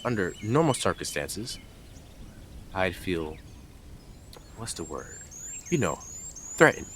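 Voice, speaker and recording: A man acting a deep-voiced, smug yandere intruder. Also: very animated, slow, a bit bright, a little hiss or hum, exaggerated voice. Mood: mocking, smug. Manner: blunt, taunting, sarcastic, with dramatic emphasis and scoffs. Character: blunt, smug, obsessive young male yandere neighbour, mocking intruder. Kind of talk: scripted audio roleplay addressed to listener.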